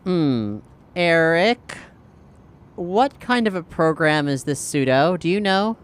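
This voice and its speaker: Clear, higher-pitched man planning a game move. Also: lively intonation, slow pace. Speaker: tabletop role-playing player. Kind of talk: casual game planning chat.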